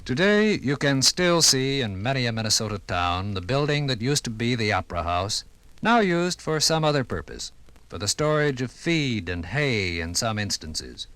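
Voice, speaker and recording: An American man narrating a scripted 1950s radio documentary with formal, measured, dramatic phrasing. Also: mid-range voice, sing-song, a bit bright, no echo.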